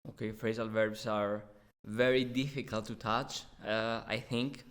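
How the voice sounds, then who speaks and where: A man's voice: lowish voice, little pitch movement, measured pace. Male podcast interviewer, conversational.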